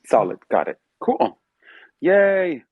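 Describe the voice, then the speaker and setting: A man's voice: high-pitched, big pitch swings, slow pace, breathy edge, no echo, phone-quality sound. A young man from Miami hosting a casual live audio chat, upbeat and chatty, with many fillers. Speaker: host.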